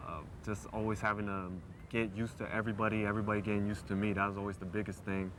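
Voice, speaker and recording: A man's voice: fairly low pitch, level tone, fast, noisy background. A young American man of about nineteen speaking candidly about his life in an interview. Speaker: interviewee, foster youth. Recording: music bed under the voice.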